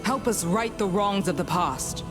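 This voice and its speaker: Actress as slow-spoken stern rebel commander woman. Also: mid-range voice, animated, natural voice. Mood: resolute. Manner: deliberate, authoritative. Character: stern commanding female rebel leader, proud and resolute. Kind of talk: fantasy game voice acting. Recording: loud music behind.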